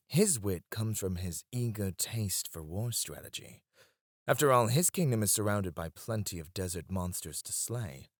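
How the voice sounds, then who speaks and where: A man playing a deep, teasing royal advisor. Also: no room sound, clean studio sound. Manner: soft-spoken, dropping to a hush on titles; teasing and confiding. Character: scheming male royal advisor, smooth and devoted. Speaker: voice actor. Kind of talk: ASMR roleplay.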